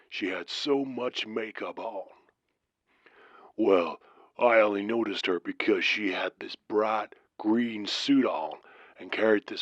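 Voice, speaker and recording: A man playing a folksy Southern trucker who calls a late-night radio show, telling an eerie tale in a slow, drawn-out manner. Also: on the low side. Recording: muffled, roomy sound.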